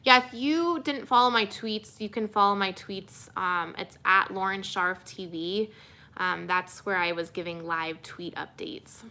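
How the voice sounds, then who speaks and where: A young woman TV reporter, relaxed and soothing, answering viewer questions in a casual livestream with many fillers. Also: lowish voice. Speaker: TV news reporter.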